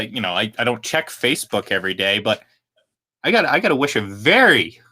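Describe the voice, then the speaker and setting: A man hosts a live sports talk radio show, talking football with energy and laughing easily. His voice is bright and forward, at a middle pitch. He rambles through his points and restarts them often. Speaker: radio host.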